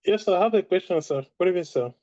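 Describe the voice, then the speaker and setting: A man's voice: high voice, animated, racing, warm tone, dry recording, dull audio. A man from Africa, a student, politely asking his lecturer a question and calling him sir. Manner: polite, says "sir" often.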